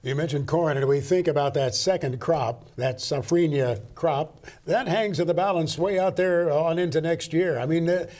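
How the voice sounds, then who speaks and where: A man's voice: fairly high pitch, normal speed, hardly any pauses, a little mellow. A man co-hosting a farm news show, asking follow-up questions in a conversational way. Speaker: co-host. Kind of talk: studio interview.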